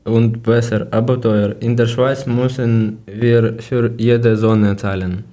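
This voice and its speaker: A man from Ukraine co-hosts a casual podcast in learner German. His voice is mellow and dark in tone and he states things plainly. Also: medium pitch, level tone, takes it slowly. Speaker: podcast co-host.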